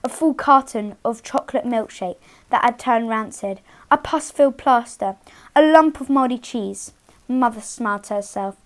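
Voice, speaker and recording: A woman hosting storytime, speaking to young children in an expressive read-aloud style with dramatic emphasis on key words. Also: on the high side, normal speed. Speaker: children's storytime host and reader.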